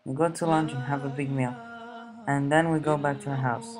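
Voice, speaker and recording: Teen boy, mid-pitched, plain read-aloud student delivery over faint background music. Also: fairly even intonation, a little fast. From United States. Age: teenager. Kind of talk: reading a school essay aloud.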